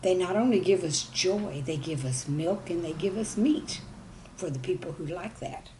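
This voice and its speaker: A woman telling stories to young children in an expressive, folksy way, speaking to them directly. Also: fairly low pitch, normal speed, slight background noise, low-quality recording. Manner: folksy, animated, addresses young listeners directly. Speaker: children's storyteller.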